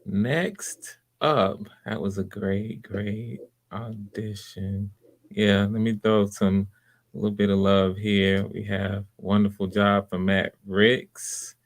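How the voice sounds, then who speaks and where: A man's voice: lowish voice, level tone, slow pace. A man casually hosting a livestreamed audition, giving encouraging, conversational feedback. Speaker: host and casting director of live auditions. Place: live video call stream.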